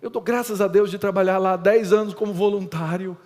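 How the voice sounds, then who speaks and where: A middle-aged Brazilian man with a Brazilian Portuguese accent, preaching an emphatic lecture-style sermon that swings between loud and hushed. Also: sits high, fairly even intonation, a little fast. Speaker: pastor. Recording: reverberant hall.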